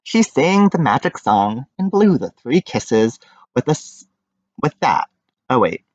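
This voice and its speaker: A man giving an animated, expressive read-aloud of a children's picture book, with informal asides. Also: mid-range voice, a little slow, no room sound, dull audio.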